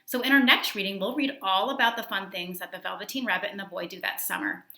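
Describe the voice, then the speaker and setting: A woman reading a children's story aloud as a teacher, expressive, with emphasis on key words. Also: medium pitch, fast.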